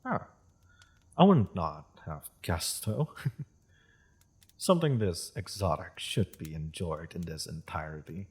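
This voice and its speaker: A man playing a courteous, wry half-dragon merchant in a fantasy audio roleplay, speaking in a formal, measured, amused manner. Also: low-pitched, expressive, a little mellow, breathy edge, exaggerated voice. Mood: courteous, hospitable. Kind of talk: scripted fantasy audio roleplay addressed to listener.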